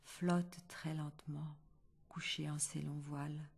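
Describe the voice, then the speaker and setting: A woman reciting a poem in a hushed, half-whispered delivery. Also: low-pitched, little pitch movement, slow, gravelly, husky.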